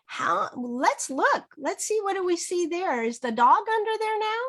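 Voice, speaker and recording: A woman teaching through an interactive children's read-aloud, modeling phrases slowly and asking prompting questions. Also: very high voice, animated, fairly bright tone. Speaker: reading teacher. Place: online video session.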